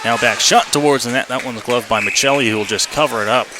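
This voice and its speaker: Man calling hockey over loud arena noise. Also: medium pitch, quick pace. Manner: clipped running phrases, rising to excited calls on shots. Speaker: ice hockey play-by-play announcer. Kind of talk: live radio sports commentary. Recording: noisy live arena radio feed.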